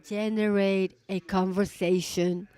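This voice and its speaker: A woman's voice: medium pitch, flat delivery, warm tone, clean tone, no echo. Woman interpreting a business talk. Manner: halting, rephrasing as she goes. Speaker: conference interpreter.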